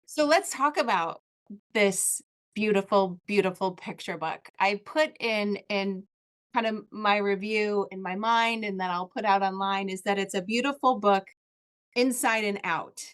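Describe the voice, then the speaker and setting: A woman's voice: medium pitch, unhurried, fairly bright tone. Effusive woman podcast host. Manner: effusive, enthusiastic. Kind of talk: casual interview.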